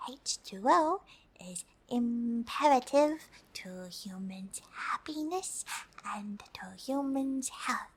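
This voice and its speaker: A friendly, excitable alien played by a woman whispers through an ASMR roleplay. The voice is breathy and fairly high, moving extremely slowly. The phrasing is oddly formal and hesitant, like an eager visitor carefully addressing humans. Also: heavily acted. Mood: earnest, helpful. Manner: hesitant, formal, third-person self-reference with frequent uh.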